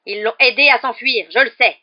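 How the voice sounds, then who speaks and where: Une femme qui interprète dans un livre audio un professeur hors de lui, rugissant des accusations rageuses. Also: female voice, a little high, a little fast, lo-fi sound, pitched above the performer's own voice, close to an everyday voice. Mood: furious. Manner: roaring, beside himself with rage. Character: furious, vindictive male professor, shouting accusations. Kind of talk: acted audiobook dialogue.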